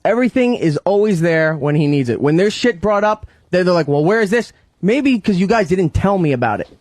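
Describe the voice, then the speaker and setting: A man's voice: sits high, very animated, a little fast, darkish tone, no room sound. A man in a heated workplace argument, defensive and exasperated, swearing as he makes his case. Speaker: radio show staffer.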